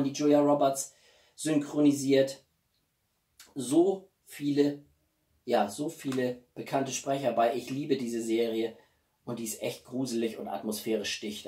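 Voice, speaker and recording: A man in his forties chatting casually as a video blogger, with halting speech and enthusiastic asides. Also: on the high side, darkish tone. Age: 40s. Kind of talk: casual chatty vlog presenting gifts. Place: small living room, indoors. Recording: roomy echo, distant microphone.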